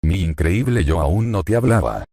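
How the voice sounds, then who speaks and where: A man's voice: sits low, lively intonation, normal speed, darkish tone, no echo, clean studio sound. A man reading a brash barking young fighter. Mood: irritated. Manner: barking, irritated.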